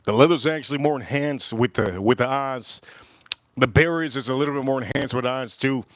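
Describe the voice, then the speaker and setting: A man's voice: medium pitch, brisk, no room sound. A man reviewing whiskey on a casual livestream, enthusiastic and repeating his phrases, with sighs and exclamations between sips. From United States. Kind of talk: casual livestream tasting chat.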